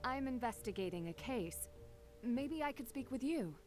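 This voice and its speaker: A young female detective, acted by a woman for a video game, with a fairly high, animated voice. She is inquisitive and polite but pointed, asking direct questions over faint music. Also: normal speed, natural voice.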